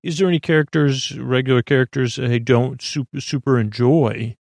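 A man with a gravelly, fry-edged voice of middling pitch rambles as a sleep podcast host. He halts, doubles back and drifts between thoughts, reassuring and undemanding. The sound is close and dry, with no room around it. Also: steady tone, a little mellow, no echo. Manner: meandering, halting, trailing off with repeated false starts; reassuring, low-pressure. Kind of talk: rambling, unscripted bedtime podcast monologue.

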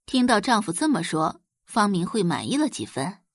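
A woman's voice: mid-range voice, very animated, moderate pace, dry recording, studio quality. A woman reading scripted audiobook narration as a storyteller.

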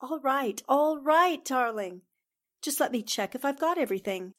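An actress plays a young newspaperwoman in an old-time radio drama. Her voice is high and melodic, full of swings, and she banters in a poised, breezy, good-humored way. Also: expressive, moderate pace, a bit bright. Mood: teasing. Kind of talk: 1940s radio drama acting.

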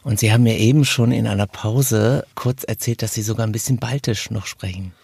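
A man's voice: on the low side, fairly even intonation, brisk, creaky, darkish tone, husky, no echo. A man hosting an audiobook podcast, presenting and interviewing guests. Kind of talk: scripted introduction and interview.

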